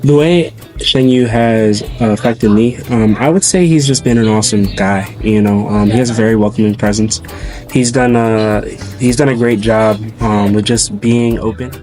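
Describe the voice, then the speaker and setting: A man speaking in an interview in a relaxed, halting way, with many fillers. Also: on the low side, soft background music. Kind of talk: interview testimonial.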